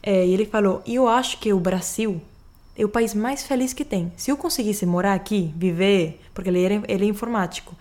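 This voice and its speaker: A woman's voice: mid-range voice, fairly quick, a little mellow. A Venezuelan woman, a native Spanish speaker, talking about her life in a radio interview with a strong Spanish accent, often restarting her sentences. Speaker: singer-songwriter guest. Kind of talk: radio interview, casual chat.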